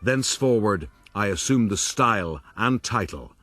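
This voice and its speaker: An actor playing a vain, self-satisfied gentleman adventurer, pompously narrating his own rise in a radio drama. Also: fairly low pitch, expressive, moderate pace, a little hiss or hum. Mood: smug. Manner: pompous, formal. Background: music.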